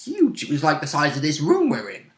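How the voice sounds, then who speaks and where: A man's voice: a little high, huge pitch swings, fast, noisy background. A man chatting casually as a player looking back on a tabletop role-play session. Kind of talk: casual animated chat. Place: indoor room. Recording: roomy echoing home recording.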